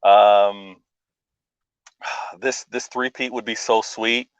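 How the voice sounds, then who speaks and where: A man talking football as a stream guest, enthusiastic and casual, often pausing with "um". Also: lowish voice, steady tone, smooth, no echo. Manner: enthusiastic, fills pauses with "um". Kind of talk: casual chat.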